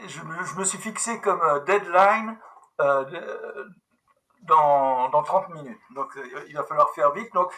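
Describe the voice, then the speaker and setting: A man runs a video-call meeting with a very bright, ringing tone. He speaks a little high and keeps going in a brisk, businesslike way, stumbling and restarting as he goes. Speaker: meeting host, company manager. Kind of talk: online team meeting.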